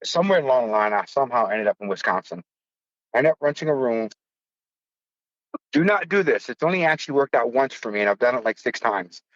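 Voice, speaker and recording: A man's voice: mid-range voice, lively intonation, fairly quick, a bit bright, no room sound, dull audio. A man in his fifties from the United States, a podcast host telling a personal story in a blunt, profane, self-mocking way. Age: 50s. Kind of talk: casual storytelling.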